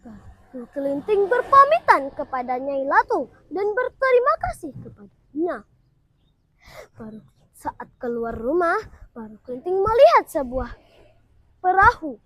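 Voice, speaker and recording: A woman's voice: sits high, big pitch swings, a little slow, pauses often, fairly bright tone, no room sound. A primary school girl telling a folktale in a dramatic, declamatory style. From Indonesia. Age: child, primary school pupil. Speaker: student storyteller. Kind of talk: theatrical folktale storytelling.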